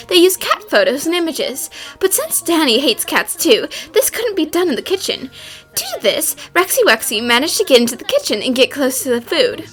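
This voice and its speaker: A woman playing a confident, eager young female detective in an animated mystery fan dub, with a theatrical, declaiming delivery. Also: high-pitched. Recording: music under the voice.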